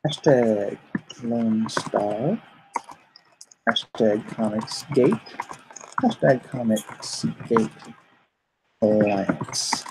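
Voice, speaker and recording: A man hosting a livestream in a casual, chatty way, informal and given to excited exclamations, often halting as he goes. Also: on the low side, noisy background. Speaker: livestream host and comic artist. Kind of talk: casual livestream chat, reacting and thanking viewers.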